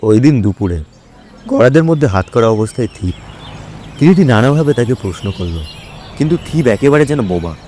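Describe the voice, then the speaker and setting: A man's voice: medium pitch, lively intonation, a little fast, pauses often, dark tone, slight background noise. A man narrating a story for an audio drama in a scripted, dramatic storytelling style.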